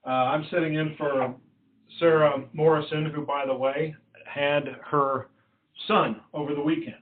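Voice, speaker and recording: A man speaking haltingly and politely as a staff official running a formal committee meeting on a conference call. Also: fairly high pitch, fairly even intonation. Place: conference call, roomy sound. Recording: muffled, echoey conference call line.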